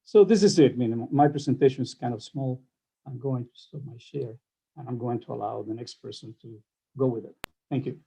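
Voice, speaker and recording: A man on an online panel, speaking in a reflective, halting way with pauses. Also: mid-range voice, vocal fry, dark tone, breathy edge, rough recording.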